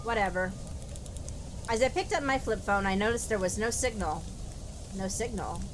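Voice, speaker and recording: A woman's voice: medium pitch, animated, moderate pace, background noise. A woman playing through a game with casual, wisecracking commentary, reading the text aloud, with music behind her. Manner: sardonic, wisecracking. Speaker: game streamer.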